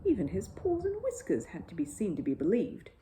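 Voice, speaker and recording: A woman narrating a children's bedtime story in an expressive storytelling style. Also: mid-range voice, fairly quick, slight background noise, low-quality recording.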